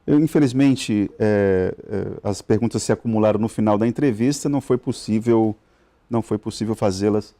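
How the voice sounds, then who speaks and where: A man's voice: fairly low pitch, normal speed, mellow. A male TV host interviewing a guest in a studio, talking with many fillers and restarts. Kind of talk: live TV interview.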